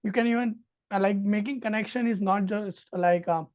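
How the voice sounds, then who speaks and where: A woman on a student panel giving earnest, halting advice and often adding "right?". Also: mid-range voice. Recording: dull lo-fi video call audio.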